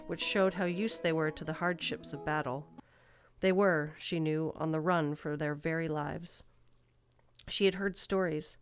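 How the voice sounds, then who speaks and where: A woman with a fairly low voice narrates a scripted fantasy tale. Soft guitar music plays faintly behind her as she reads. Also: clean tone, no echo.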